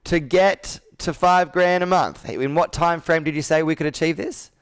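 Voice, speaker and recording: A man's voice: on the high side, moderate pace, smooth. A man in his thirties coaching a sales roleplay on a video call, asking probing questions and repeating answers back. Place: indoor room with whiteboard, on a video call.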